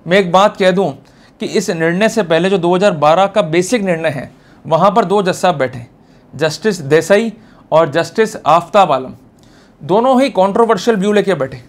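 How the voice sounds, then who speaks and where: A man with an Indian accent and a notably high voice teaches like a lawyer at the front of a class. He explains informally but with emphasis, putting questions straight to his listeners. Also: moderate pace. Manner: emphatic, addresses listeners directly with checking questions. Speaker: lawyer giving law lectures.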